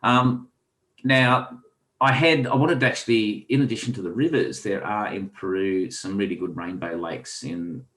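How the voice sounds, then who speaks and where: A man's voice: fairly low pitch, moderate pace, fairly bright tone, poor audio. A man with an Australian accent, a podcast guest telling long rambling fishing stories with frequent ums. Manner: rambling anecdotal, many ums. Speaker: guest angler and author. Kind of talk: casual storytelling interview.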